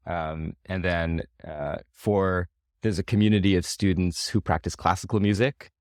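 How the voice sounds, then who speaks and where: Low-pitched man in casual podcast chat. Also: fairly even intonation, normal speed, a little mellow, no echo, studio quality.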